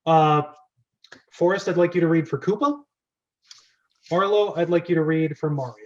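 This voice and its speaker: A man's voice: on the high side, normal speed. A man casually hosting a script read-through and organising the readers, on a slightly dull recording. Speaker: host of script reading.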